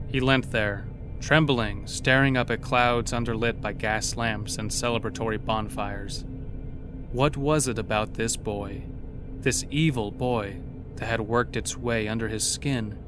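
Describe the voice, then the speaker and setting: A man's voice: on the low side, fairly even intonation, unhurried. Male horror narrator over music. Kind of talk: scripted horror story narration.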